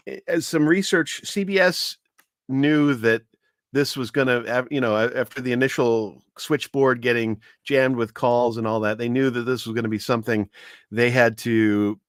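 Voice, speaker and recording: A man giving an informal, explanatory history talk with anecdotes, speaking in a measured, hesitant way as a commentator. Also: mid-range voice, steady tone, no room sound.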